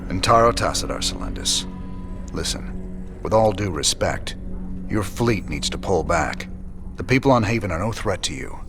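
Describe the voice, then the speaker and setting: A man playing a weary, principled space rebel commander in a video game, with a folksy American drawl and gruff delivery. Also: deep voice, animated, moderate pace, music in the background. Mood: firm. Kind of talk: video game cutscene acting.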